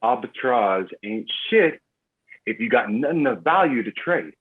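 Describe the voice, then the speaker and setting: A man's voice: mid-range voice, animated, measured pace, slightly rough. A man acting a menacing, cocky drug dealer boss in a screenplay table read, taunting and foul-mouthed. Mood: smug, taunting. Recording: muffled phone-like line, music behind.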